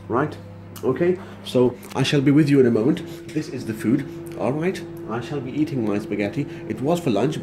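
A man's voice: medium pitch, echoey room, background noise. A young man, vlogging casually to his phone at home and joking in slang banter. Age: young adult. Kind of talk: casual vlog to camera. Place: home kitchen indoors. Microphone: phone camera held at arm's length.

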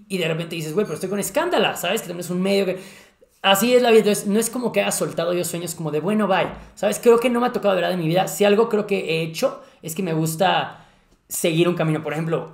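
Animated Mexican man in an echoey room. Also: high voice. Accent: Mexican Spanish. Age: 30s. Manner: animated, gestures a lot, slangy. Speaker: podcast host. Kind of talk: casual chat. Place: living room with a fireplace.

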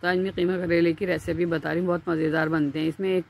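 A woman with a Pakistani Urdu accent, hosting a home cooking channel and explaining each step in a chatty voice-over with small pauses. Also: on the low side, little pitch movement, gravelly, a little mellow. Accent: Urdu-speaking Pakistani.

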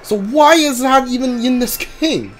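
A man streaming a game with casual, halting commentary, often repeating himself. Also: extremely high pitch. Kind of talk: casual live playthrough commentary. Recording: steady background noise.

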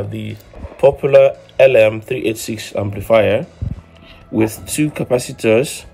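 Man explaining hands-on, with slightly low voice. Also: vocal fry, darkish tone. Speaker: host of an electronics DIY channel. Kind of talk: informal hands-on electronics tutorial.

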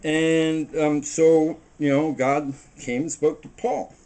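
A middle-aged man talks through a loose, preachy monologue while working dough at his kitchen table. His voice sits a bit high for a man, and it is picked up by a camera a few feet away in a small home kitchen. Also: little pitch movement, takes it slowly, a little mellow. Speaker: home cooking show host. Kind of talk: rambling casual monologue while baking. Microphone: camera mic on the table a few feet away.

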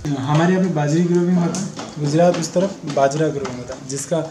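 A man's voice: fairly high pitch, steady tone, fast, over music. A man guiding visitors around a village and explaining things to them. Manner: explanatory, mixing English words.

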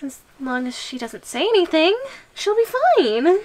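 Woman playing a high-voiced, possessive giantess girlfriend. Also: very animated. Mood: sweetly controlling. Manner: coy, drawn-out, giggly delivery. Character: obsessive, sadistic giantess girlfriend, sweetly possessive. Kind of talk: ASMR audio roleplay. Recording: music under the voice.

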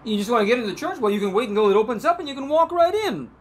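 A man's voice: extremely high pitch, animated, racing, slightly rough, heavily acted. A middle-aged man playing a shady, casually confident petty crook in an improvised soap opera, breezy and streetwise.

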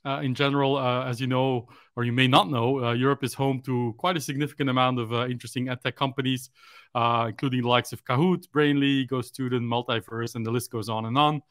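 A native Dutch-speaking man in his forties hosts an interview over a headset on a video call. His voice sits at a middle pitch with a dry, close sound. He speaks steadily, opening many phrases with a soft "uh". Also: steady tone, smooth, no echo. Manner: measured, fills pauses with "uh". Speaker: podcast host, interviewer. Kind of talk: interview hosting. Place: room at home, indoors.

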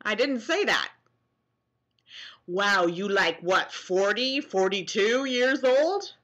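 A young American woman reacting casually to comments she reads aloud, sarcastic and animated, at home on a webcam mic. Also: medium pitch, takes it slowly, highs cut off. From New Jersey, US. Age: young adult. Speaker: vlogger. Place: small room at home, indoors.

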